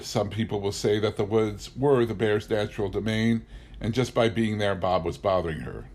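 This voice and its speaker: Low-voiced man reading a wry memoir. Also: moderate pace, darkish tone. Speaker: audiobook reader. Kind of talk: scripted narration of a humorous memoir.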